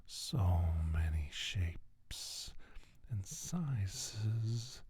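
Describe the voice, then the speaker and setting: A man playing a menacing, condescending alien scientist in a slow, soft-spoken ASMR roleplay, with a breathy, husky near-whisper and deliberate, halting phrases. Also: on the low side, expressive, no room sound, heavily acted. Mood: condescending musing.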